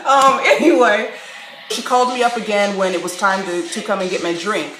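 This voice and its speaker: A woman from Chicago telling a story to camera in a candid, wry vlog, breaking into laughs and animated asides. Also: medium pitch, moderate pace, background noise. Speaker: vlogger. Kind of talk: casual storytelling monologue. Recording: echoey room, faint background music.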